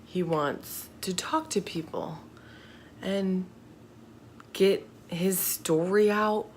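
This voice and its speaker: A woman telling true crime stories casually, in a halting, informal, chatty manner. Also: lowish voice, fairly even intonation, darkish tone. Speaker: host of a true crime video channel. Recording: faint background noise.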